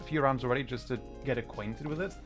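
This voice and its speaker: Man, medium pitch, casual gaming livestream, explanatory, excitable, prominent video game music in background. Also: fast talker. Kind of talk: casual live gaming commentary, chatting with viewers.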